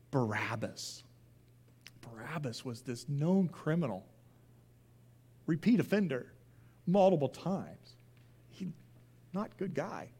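A man preaching a sermon as a pastor, emphatic, with deliberate pauses, repeated phrases and questions put to his listeners. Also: on the high side, mellow.

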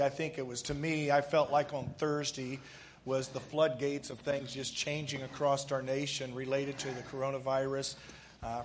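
A hoarse man speaking as a pastor, giving casual church announcements with frequent fillers. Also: medium pitch, a little hiss or hum. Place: church sanctuary.

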